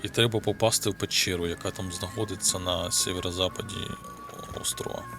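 A man's voice: low-pitched, huge pitch swings, brisk. A man with a Ukrainian accent giving casual gameplay commentary, offhand and muttering to himself, swearing casually. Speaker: gaming video host. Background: game music.

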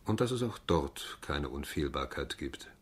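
An actor playing a sober, cautious lawyer in a German radio play, with a husky voice. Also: male voice, lively intonation, measured pace, full character voice. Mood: reflective. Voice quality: husky, low. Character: sober, cautious male lawyer narrating his case. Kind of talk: radio play narration and dialogue.

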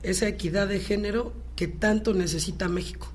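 A woman's voice: mid-range voice, brisk, a little mellow, slight background noise. A woman with a Mexican accent speaking as an actress guest in a conversational interview.

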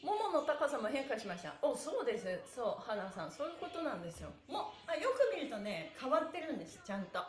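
A woman's voice: high-pitched, big pitch swings, fast, few pauses, soft background music. A middle-aged woman instructor leading a live stretching class, cheerful and playful, chanting in rhythm as she moves. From Japan. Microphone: camera across the room. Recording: echoey small room.

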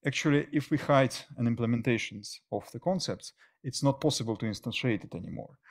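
Middle-aged guy doing a software talk at a meetup, medium pitch, stops and starts a lot. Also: fairly quick. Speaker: conference speaker, software engineer. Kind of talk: technical presentation. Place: indoor stage at a tech meetup.

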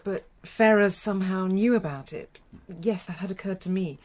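An actress playing an earnest, grieving widow in a radio drama, slightly hesitant. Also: female voice, medium pitch, normal speed, a little mellow, lightly acted. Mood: earnest, subdued. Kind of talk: British radio drama acting.

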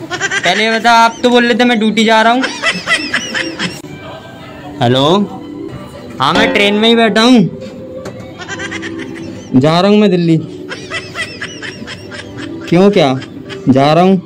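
A man's voice: high voice, sing-song, measured pace, pauses often, music in the background. A young man doing a prank phone call in a whiny, argumentative, childlike way inside a lift. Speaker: prankster making fake phone calls. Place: inside a crowded metal passenger lift.

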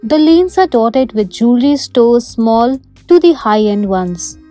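A woman's voice: a little high, animated, a little slow, music in the background. A young woman with an Indian English accent, presenting a travel vlog from a busy market and narrating over it. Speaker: reporter. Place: busy street market outdoors.

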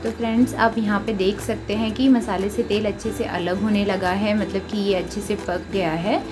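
Indian female home-cook host, mid-pitched instructional recipe narration, prominent music bed underneath. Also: fairly even intonation, moderate pace. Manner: instructional, addresses listeners as friends often. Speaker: home cooking video host. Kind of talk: recipe voice-over, step-by-step instruction. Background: prominent background music.

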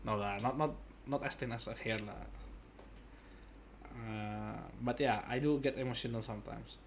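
A man's voice: medium pitch, fairly even intonation, normal speed, a little hiss or hum. A man in his thirties giving casual, playful playthrough commentary, often echoing and repeating words. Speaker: gaming streamer. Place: indoor gaming setup. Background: game music and dialogue.

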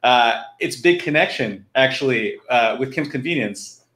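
A middle-aged man hosts an online event over a video call. His medium-pitched voice sits in an echoey room. He speaks informally and often stops to restart a phrase. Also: animated, normal speed, a bit bright. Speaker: host of a live online fundraiser. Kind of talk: informal live stream hosting. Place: room at home, indoors. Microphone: computer video call.

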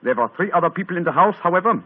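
An actor playing a suave detective disguised as a German count, speaking with a put-on German accent. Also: male voice, sits high, expressive, rapid-fire. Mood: courtly. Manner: crisp, poised, feigned German accent in disguise. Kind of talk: 1940s radio acting. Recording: tinny old radio broadcast.

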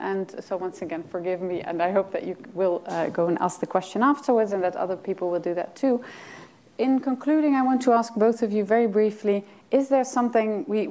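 A woman's voice: mid-range voice, darkish tone. A young woman moderating a panel discussion on stage, polite and courteous, with a handheld mic in a small lecture hall. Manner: polite, with many fillers and affirmations.

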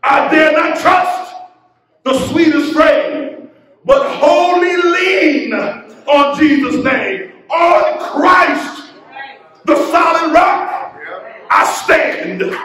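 A middle-aged man preaching a sermon from a church pulpit, building into shouted, half-sung exhortation. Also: extremely high pitch, very slow, some chatter behind. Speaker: pastor. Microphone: pulpit mic, filmed by a far camera. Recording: very echoey church from a distance.

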